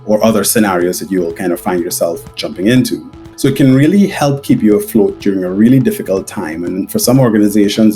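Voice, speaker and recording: A low-pitched male podcast host with a relaxed, conversational delivery. He often repeats words as he starts a question. Soft music plays faintly behind him. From Caribbean. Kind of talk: interview podcast with sponsor read.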